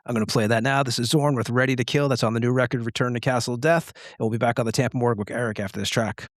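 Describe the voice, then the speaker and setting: A man's voice: medium pitch, steady tone, racing, dry recording, clean studio sound. A man hosting a music show, chatting casually as he interviews a guest. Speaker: music podcast host and interviewer.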